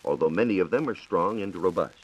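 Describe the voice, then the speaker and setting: An American man giving a scripted 1940s radio science talk as a reporter, in a measured, formal lecturing manner. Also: low-pitched, animated, lo-fi sound. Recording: 1940s radio broadcast recording.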